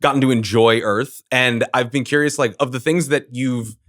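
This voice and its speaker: A man's voice: medium pitch, fairly even intonation, normal speed, fairly bright tone. A man interviewing a voice cast at a convention, chatty and laughing, with rambling questions. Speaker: podcast interviewer.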